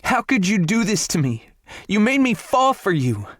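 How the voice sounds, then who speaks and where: A man puts on a young woman who has come apart with heartbreak, screaming her accusations at full force. Her voice rides high and loud, cracking hoarse from the shouting, in a dry, close audiobook read. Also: big pitch swings, moderate pace, no room sound, heavily acted. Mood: furious, anguished. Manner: shrieking, accusing.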